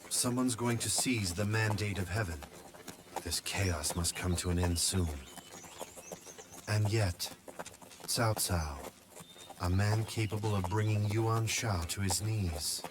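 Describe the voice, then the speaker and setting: Sima Yi, a weary scornful strategist. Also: male voice, on the low side, slow, long pauses, noisy background. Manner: disdainful, sighing complaints. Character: haughty, weary male strategist, aloof and scornful. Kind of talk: video game cutscene acting. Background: game music.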